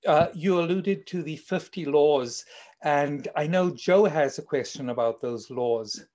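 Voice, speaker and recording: A South African man moderates a panel, his voice a little higher than most men's. He speaks in a measured, soft-spoken way and keeps the discussion moving.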